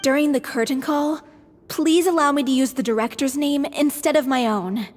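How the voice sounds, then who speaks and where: An actress voicing an earnest young stage actress in a theatre troupe, polite and sincere. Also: female voice, high voice, normal speed, big-room sound, slight background noise, close to an everyday voice. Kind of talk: video game cutscene voice acting.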